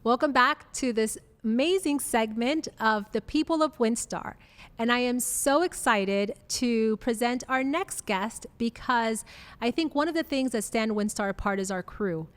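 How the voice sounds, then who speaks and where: Upbeat woman host with a smooth, clear voice and a long reverb trail, effusive in her questions. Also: fairly high pitch. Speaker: podcast host. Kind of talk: enthusiastic interview hosting.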